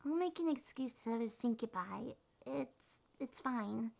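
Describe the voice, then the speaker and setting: Woman voicing a soft, high-pitched shy succubus girl. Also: expressive, moderate pace, gravelly. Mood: sheepish, soft. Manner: hesitant, gentle, slightly stammering. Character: shy, sweet young female succubus monster girl. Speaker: ASMR roleplay performer. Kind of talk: ASMR roleplay, soft and intimate.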